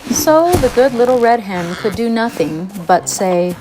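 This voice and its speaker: A woman narrating a scripted children's fairytale with an expressive storybook delivery. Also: on the high side, a little slow, low-quality recording. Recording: music behind the narration. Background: music.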